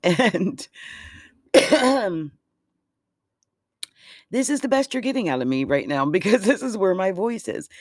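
A hoarse, giggly vlogger talks slowly through the day's astrology, rambling in a playful way. The voice swoops up and down with lively pitch. The sound is dry and close, with faint music behind. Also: no room sound. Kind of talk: casual astrology chat.

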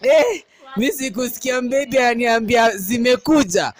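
Animated young Kenyan man telling a story. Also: extremely high pitch, unhurried, faint music behind. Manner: animated storyteller, mixes English and Swahili slang, mimics voices. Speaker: panel guest. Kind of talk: casual storytelling chat.

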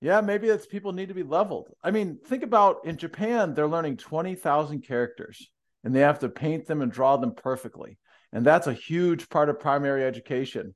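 A man's voice: on the high side, brisk. Wry man with a big voice. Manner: dry, wry humor. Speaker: podcast co-host. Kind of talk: casual discussion.

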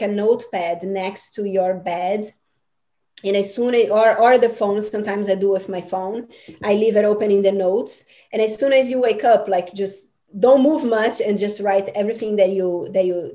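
A woman's voice: medium pitch, dark tone. A woman whose first language is Portuguese, an Akashic records teacher answering interview questions in an earnest, rambling coaching manner with many fillers.

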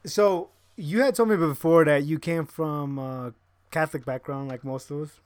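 Joking relaxed guy hosting a podcast, highish voice, faint music behind. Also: moderate pace, smooth, no room sound, clean studio sound. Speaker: podcast host. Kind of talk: casual chat.

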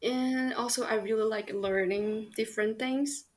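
A young woman from China with a Chinese accent whose first language is Chinese, answering interview questions in an earnest, hesitant way with many pauses and fillers. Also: on the high side, fairly even intonation, darkish tone. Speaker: guest.

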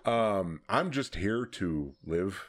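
A man's voice: sits low, slow. A man hosting a podcast, telling stories in a casual, profane way and laughing often. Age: adult, hoping to see future grandkids. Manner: profane, animated storytelling with frequent laughs. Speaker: podcast host.

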